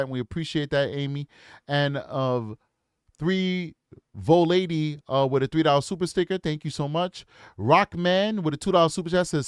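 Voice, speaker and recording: A man hosting a true crime livestream, reading super chats aloud and thanking viewers in an upbeat, repetitive way with lots of ums. His voice is hoarse and worn out. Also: a little high, no echo, studio quality. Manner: upbeat, repeats himself, frequent ums.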